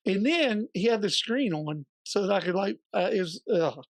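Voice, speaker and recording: A man co-hosting a podcast, slightly hoarse and raspy, telling a story in a chatty way with joking asides. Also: high-pitched, lively intonation, normal speed, no echo. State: a little hoarse. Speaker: podcast co-host. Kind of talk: casual podcast chat.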